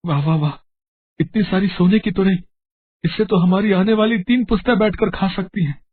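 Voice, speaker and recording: A man playing a poor, loving farmer and father, earnest and melodramatic, in an animated moral story. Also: high voice, normal speed, phone-quality sound. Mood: grateful, amazed.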